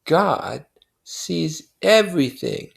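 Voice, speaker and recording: A brooding, grief-stricken husband from a novel, acted out by a man reading aloud. He mutters in halting, heavy phrases with obsessed conviction. The voice is lifted and strained upward, with a creaky edge. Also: a little high, lively intonation, voice pushed up, full character voice. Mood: brooding, righteous. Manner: slow, muttering, halting, emphatic.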